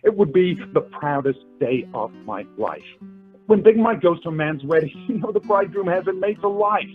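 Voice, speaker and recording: A man acts out an earnest young working-class suitor in a radio play. His voice is husky and swings widely in pitch, with emphatic, hesitant delivery. The audio is lo-fi with music behind. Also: lively intonation, moderate pace. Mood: solemn.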